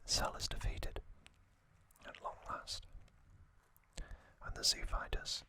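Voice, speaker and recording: Whispering man, very slow ASMR delivery. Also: bright, forceful tone. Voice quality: breathy unvoiced whisper. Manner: slow, deliberate, short phrases with pauses. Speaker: ASMR host. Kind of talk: ASMR whispered trivia recap.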